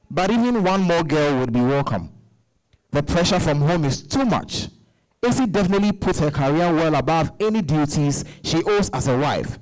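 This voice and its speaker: A man's voice: fairly high pitch, poor audio. Man playing aggrieved husband, swooping voice. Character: frustrated, aggrieved husband complaining about his career-minded wife. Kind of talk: dramatized stage-style novel reading.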